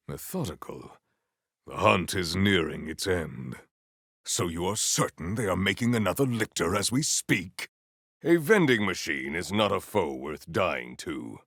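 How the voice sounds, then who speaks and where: Deep, stately, honorable game warrior. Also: male voice, vocal fry, a bit bright, husky, no room sound, studio quality, exaggerated voice. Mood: solemn, resolute. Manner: formal, stately, theatrical diction. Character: proud honorable warrior, formal, righteous and battle-hungry. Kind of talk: video game character voice lines.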